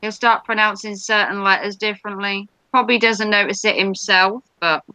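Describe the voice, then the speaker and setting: A woman's voice: mid-range voice, fairly even intonation, moderate pace, pushed and bright, no echo, poor audio. A woman from Norfolk with an English accent, chatting and teasing her partner on a live stream. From England, Norfolk. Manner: teasing, jokey banter. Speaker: vlogger hosting a live stream. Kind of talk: casual chat.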